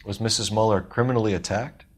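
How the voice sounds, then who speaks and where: An actor playing a composed American 1940s police detective in a video game, asking questions in a clipped, formal way. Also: male voice, on the low side, quick pace, husky. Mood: inquiring. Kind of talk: cinematic video game acting.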